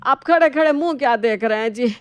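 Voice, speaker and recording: A woman playing a fussy, dramatic older mother-in-law who complains, in an animated family story. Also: sits high, fairly quick, clear, resonant tone, close to an everyday voice. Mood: plaintive, dramatic.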